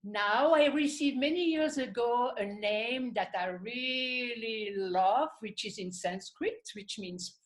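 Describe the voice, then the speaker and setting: A woman's voice: fairly high pitch, slow pace, few pauses. A woman from French-speaking Switzerland with a Swiss French accent, a guest artist in a conversational interview who laughs often and thinks aloud.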